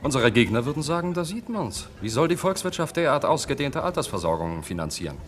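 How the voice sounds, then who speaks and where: An actor in a German radio play, playing an ambitious research professor who talks emphatically and persuasively. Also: male voice, medium pitch, big pitch swings, fast talker, faint music behind, natural voice. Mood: insistent, persuasive. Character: insistent, ambitious male professor of medical research.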